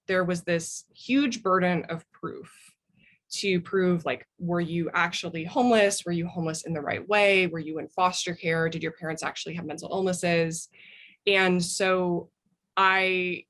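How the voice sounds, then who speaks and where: A woman's voice: on the low side, fairly bright tone. An American woman speaking as an interview guest, telling personal stories in a thoughtful way. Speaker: interview guest, memoir author.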